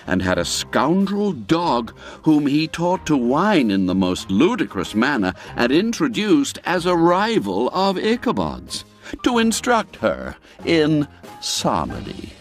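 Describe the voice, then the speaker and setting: A man narrating a classic tale as an audiobook, in a theatrical, expressive, literary storytelling manner. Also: mid-range voice.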